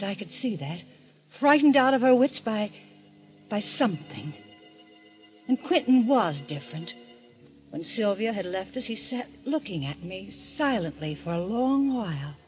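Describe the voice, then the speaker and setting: An actress playing an anxious, earnest sister in an old-time radio mystery, speaking hesitantly on a dull vintage recording. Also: female voice, medium pitch, very animated, normal speed, soft background music, lightly acted. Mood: troubled recounting. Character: frightened sister haunted by a strange country weekend.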